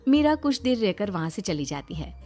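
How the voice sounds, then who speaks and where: A woman's voice: medium pitch, sing-song, normal speed. A woman narrating a cartoon moral story in Hindi in a scripted storytelling style. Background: soft background music.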